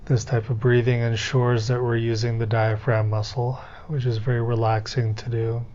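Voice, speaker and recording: Male guided-meditation instructor, mid-pitched voice, gentle and unhurried delivery, somewhat muffled lo-fi sound. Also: little pitch movement. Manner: gentle, unhurried step-by-step coaching with pauses.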